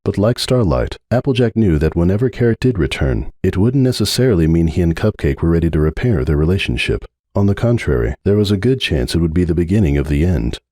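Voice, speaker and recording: Low, mellow male story narrator. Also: sing-song, fast talker, few pauses, no room sound, clean studio sound. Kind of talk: dramatic fan-fiction audiobook narration.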